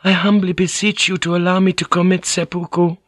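A man's voice: high voice, level tone, fairly quick, no echo, clean studio sound, voice pushed up. A man voicing an abject, deferential young widowed noblewoman who pleads submissively in an audiobook. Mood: desperate, abject.

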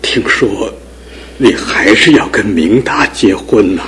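A kindly older father torn by worry in a studio radio play. He speaks very slowly, his pitch rising and falling expressively as he pleads in a gentle, fatherly tone. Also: lively intonation, slight background noise. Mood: concerned. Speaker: fiancé's father. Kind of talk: studio radio drama acting.

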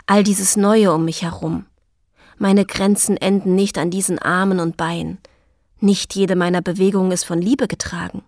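An actress playing a wounded, resentful, defiant woman in a radio drama, intense and often hushed. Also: on the low side, no echo, studio quality.

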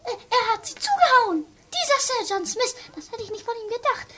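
A squeaky little cook for a trashy radio play, all flustered and stammery, voice swooping everywhere. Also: female voice, a little fast, heavy background noise. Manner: stammering, excitable, melodramatic. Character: flustered, chattering female household cook, childishly squeaky and naive. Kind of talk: amateur comic radio play acting.

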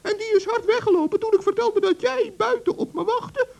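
A woman voicing a flustered little male forest gnome in an old radio play, with a very high voice that stammers and frets. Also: a little fast, vocal fry, darkish tone. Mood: anxious. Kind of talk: 1960s radio play acting.

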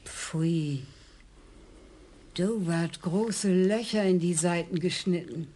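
An actress playing a blunt, dry-witted elderly widow in a radio crime play. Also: female voice, on the low side, slow pace, dark tone, slight background noise. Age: older. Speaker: murder victim's widow. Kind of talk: radio drama acting.

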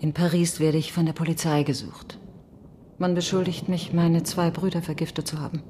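An actress playing a worldly, bitter French marquise in a radio drama, eloquent, ironic and probing. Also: female voice, deep voice, level tone, normal speed, mellow, a little hiss or hum, lightly acted. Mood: confiding, wry. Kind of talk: German radio drama acting.

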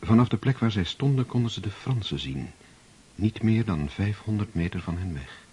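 A man giving measured, scripted literary narration for a radio play. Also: on the low side, lively intonation, darkish tone, slightly rough.

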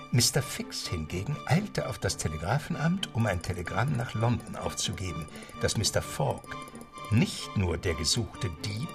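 A man narrates a scripted radio drama in a low, lively voice. It has a gravelly creak, and music plays behind it.

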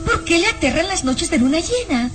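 An anxious young noblewoman who speaks with earnest alarm, with a high, bright voice. Light music plays behind her in this radio serial. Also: lively intonation, a little fast.

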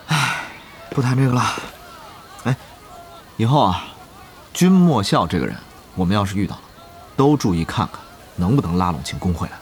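A man's voice: on the low side, big pitch swings, moderate pace, long pauses, slight background noise. An actor playing an affable, scheming male guild leader in an audio drama, chummy, exclamatory and eager to please. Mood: rueful.

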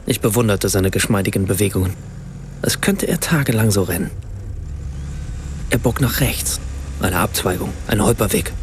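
A man playing a writer who narrates a radio play in a measured, precise way. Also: on the low side, lively intonation, a little hiss or hum, lightly acted. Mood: reflective, measured. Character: German writer narrating a remembered family evening in Rome.

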